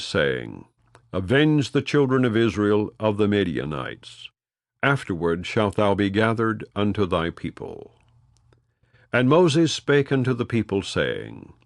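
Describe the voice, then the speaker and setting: A man narrating an audiobook of scripture in a solemn, formal and measured way, with deliberate phrasing. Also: lowish voice, no room sound, studio quality.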